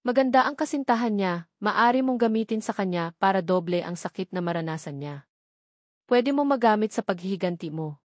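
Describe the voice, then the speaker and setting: A woman's voice: mid-range voice, lively intonation, a little fast, darkish tone, no room sound, studio quality, lightly acted. A woman with a Filipino accent voicing a vengeful, scheming seductress in an audio novel, pointed and conspiratorial.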